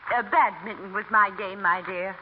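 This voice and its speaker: A woman's voice: medium pitch, normal speed, close to an everyday voice. An actress playing a haughty, genteel society lady with a pompous, formal manner in a 1940s radio comedy. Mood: grand, genteel. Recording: muffled 1940s radio recording, faint noise.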